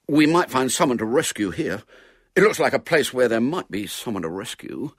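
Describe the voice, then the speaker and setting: A man's voice: mid-range voice, big pitch swings, normal speed, no room sound, clean studio sound. A man voicing a bold, boastful young boy playing at knights in a storybook, with blustering, melodramatic talk. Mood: eager.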